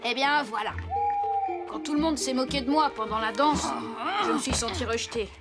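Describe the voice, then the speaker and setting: A woman's voice: high-pitched, normal speed, lightly acted. A woman voicing an impulsive, proud, hot-headed young girl in a cartoon dub. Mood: defensive, sulky. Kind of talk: animated series dubbing. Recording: loud music behind the voice.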